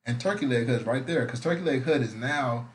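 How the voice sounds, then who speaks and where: A young man talks loosely and profanely as a podcast host, drifting through stories in easygoing slang. His voice sits at an ordinary pitch. Faint noise hums under the recording. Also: brisk. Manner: laid-back, slangy, profane. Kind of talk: casual chat.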